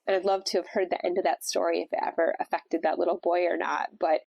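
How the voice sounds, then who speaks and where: A woman's voice: lowish voice, little pitch movement, quick pace, vocal fry, darkish tone, slightly rough, no room sound, muffled recording. A woman hosting a podcast, congested and stuffed up from a cold, talking in a casual, reflective way about faith. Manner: conversational, reflective. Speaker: podcast host. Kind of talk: casual devotional talk.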